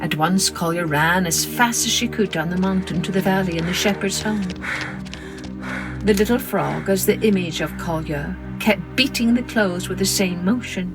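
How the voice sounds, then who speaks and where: A woman narrating a children's story in an expressive, dramatic storytelling manner. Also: on the low side, moderate pace, music in the background. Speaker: storyteller, podcast host.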